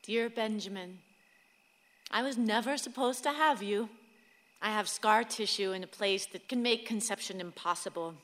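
A woman's voice: medium pitch, normal speed, fairly bright tone, close to an everyday voice. A woman playing a tender, wistful mother in a radio play monologue, intimate and reflective. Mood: wistful, loving. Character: tender, wistful mother writing to her son. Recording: long reverberant hall sound.

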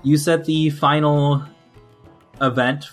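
A man's voice: on the high side, flat delivery, slow, faint music behind. A man running a tabletop game, guiding the other players with questions in casual chat. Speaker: game facilitator.

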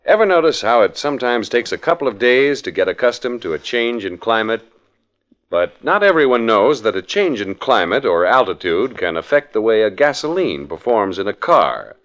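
A man's voice: lowish voice, sing-song, moderate pace, fairly bright tone. A man announcing and narrating a radio mystery in scripted 1940s style.

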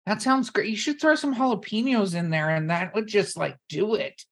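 A woman's voice: medium pitch, normal speed. A woman hosting a podcast in casual, playful, teasing banter with her guests. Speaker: podcast host.

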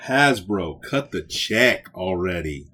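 A man bantering casually as a podcast co-host. He takes his time, but his pitch swings widely. The voice is husky, and his manner is playful and enthusiastic. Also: animated, takes it slowly, sharp tone. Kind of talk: casual pop culture banter.

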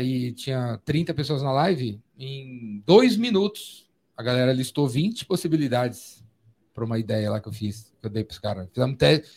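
A man's voice: medium pitch, a little mellow. A man with a Brazilian accent hosting a podcast, chatting informally with slang and frequent check-in tags. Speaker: podcast host. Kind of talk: casual chat, interviewing.

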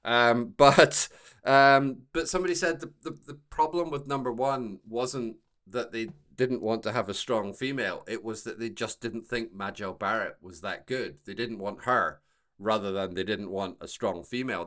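A man hosting a podcast, casually discussing a TV show in wordy, halting phrases full of repeated words. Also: fairly low pitch, fairly bright tone. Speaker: podcast host.

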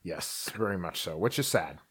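A man co-hosting a podcast, telling a true-crime story in casual chat, slowly and haltingly with many fillers. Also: low-pitched, lively intonation, a bit bright, breathy edge. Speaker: podcast co-host.

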